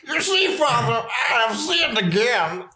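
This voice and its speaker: A man's voice: very high-pitched, very animated, a little slow, voice pushed up, full character voice. A man voicing a meek, pleading young woman confessing in a church for a visual novel read-aloud. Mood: meek, apologetic. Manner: pleading, repetitive apologies and declarations. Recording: noisy, echoey amateur room recording.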